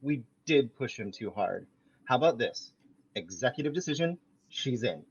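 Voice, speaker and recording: Man reading eager young guy, husky voice, muffled lo-fi mic. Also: medium pitch, very animated, moderate pace. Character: eager young man pitching a new roommate. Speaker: podcast host reading a part. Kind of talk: amateur table read.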